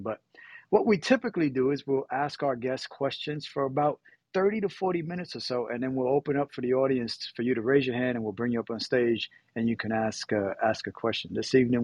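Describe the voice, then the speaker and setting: A man from Puerto Rico hosting a casual moderated chat, relaxed and rambling, often correcting himself. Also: mid-range voice, breathy edge, no echo. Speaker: host.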